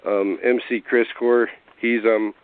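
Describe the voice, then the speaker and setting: Hoarse man on a tinny phone line. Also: medium pitch, flat delivery, a little slow, no echo. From Cincinnati area. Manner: laid-back, rambling with fillers. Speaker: guest rapper. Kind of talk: casual interview over phone.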